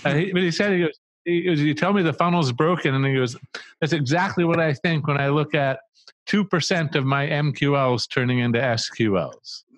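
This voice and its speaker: A man's voice: a little high, a little fast, no room sound, rough recording. A middle-aged man, a guest on an interview, explaining ideas in a thoughtful conversational way, speaking into a studio mic in a small room. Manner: thoughtful, explains with restarts and asides. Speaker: marketing agency co-founder, guest. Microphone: studio mic on a boom arm with headphones.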